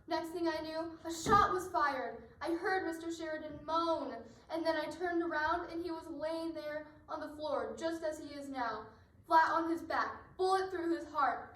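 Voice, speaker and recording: A woman's voice: high voice, moderate pace, a bit bright, distant mic. A woman playing an anxious, evasive wealthy wife in an amateur radio mystery. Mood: nervous, evasive. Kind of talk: amateur radio drama acting. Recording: echoey room, off the mic.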